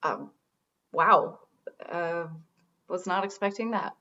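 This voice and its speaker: A woman's voice: on the low side, slow, sharp tone, dull audio. A woman playing a wry, regretful radio show host in a conversational comedy radio drama. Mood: wry, softened.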